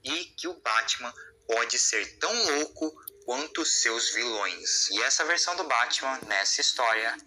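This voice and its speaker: Brazilian guy doing scripted video essay narration, really bright forceful voice, bit of noise behind. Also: mid-range voice, expressive, a little slow, poor audio.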